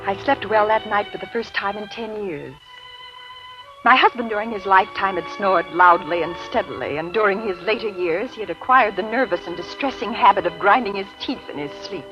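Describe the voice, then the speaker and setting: An actress playing a genteel, calculating widow who narrates an old radio thriller with precise, theatrical diction. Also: female voice, mid-range voice, brisk, natural voice. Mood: serene, confiding. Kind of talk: 1940s-50s radio drama acting. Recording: dull vintage radio recording, music behind.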